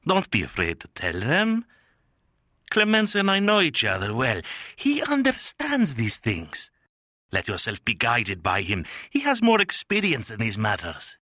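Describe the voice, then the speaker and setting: Audiobook part: a man putting on an older Sicilian-accented mob boss, lively, slippery, bright-toned. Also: mid-range voice, measured pace, dry recording, clean studio sound, voice pushed up, heavily acted. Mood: menacing. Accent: thick Sicilian. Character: brutal older mafia extortionist man, smug. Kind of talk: audiobook character voice.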